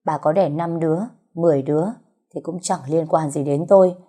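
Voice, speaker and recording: Woman as a defiant young heroine, low-voiced. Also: fairly even intonation, brisk, dark tone. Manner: blunt, standing her ground. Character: defiant orphaned young woman, long-suffering but sharp-tongued.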